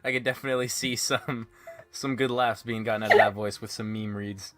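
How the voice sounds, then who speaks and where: A man's voice: fairly low pitch, steady tone, fairly quick, a bit bright. A man hosting a casual podcast chat in a jokey manner. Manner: jokey, laughs through his talk. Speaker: podcast host.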